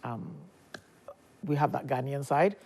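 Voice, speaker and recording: A woman's voice: a bass voice, measured pace, vocal fry, a little mellow. A woman pastor in her fifties from Nigeria, chatting as an interview guest, laughing often and restarting her sentences. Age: 50s. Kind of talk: casual interview talk.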